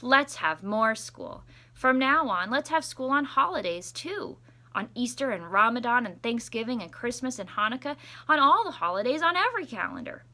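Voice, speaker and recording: A woman voicing a proud, over-enthusiastic male school principal in a storybook, with grand, drawn-out emphasis. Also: on the high side, sing-song, sharp tone, full character voice. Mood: proudly announcing.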